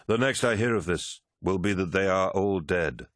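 A man voicing a commanding, brooding warlord in an audiobook, stern and deliberate. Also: low-pitched, a bit bright, no room sound, studio quality.

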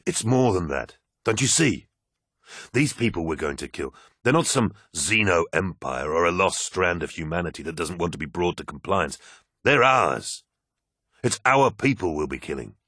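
A man's voice: low voice, expressive, normal speed, a bit bright, no room sound, clean studio sound. A man voicing an earnest, troubled, straight-laced warrior in an audiobook, with a questioning manner. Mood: troubled, pleading.

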